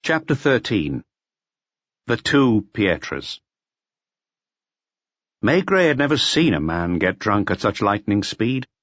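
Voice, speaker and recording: A man's voice: fairly low pitch, sing-song, takes it slowly, pauses often, a bit bright, dry recording, dull audio. A man reading a mystery audiobook as a scripted narration. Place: close, dry recording.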